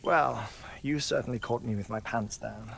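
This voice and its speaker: An actor playing an eccentric, courteous male scientist in a video game, polite, unhurried and calmly matter-of-fact. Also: medium pitch, creaky, slight background noise. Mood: wry, calm.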